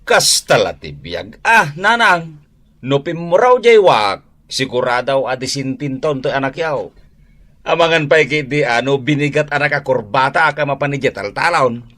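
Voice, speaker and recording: A man's voice: mid-range voice, expressive, moderate pace, a bit bright, lightly acted. A man acting a bantering rural husband in a radio drama.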